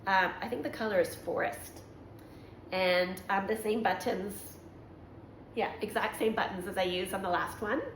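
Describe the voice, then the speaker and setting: A woman from Canada hosting a knitting podcast, chatting casually and rambling with lots of ums and self-corrections, her voice slightly raspy from allergies. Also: medium pitch, steady tone, moderate pace, echoey room, slight background noise, poor audio. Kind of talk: casual show-and-tell chat.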